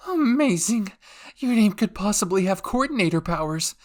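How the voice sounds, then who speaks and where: Guy doing an eager gushing anime scientist lady, pitched way up, super curious. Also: animated, normal speed, a little mellow. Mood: excited fascination. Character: excitable, curious woman scientist, squealing with fascination. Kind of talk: anime fan roleplay.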